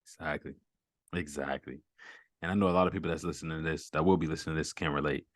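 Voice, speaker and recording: Deep-voiced upbeat male host. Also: fairly even intonation, fast, no room sound, clean studio sound. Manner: upbeat, encouraging, slangy. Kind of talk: casual interview over a video call.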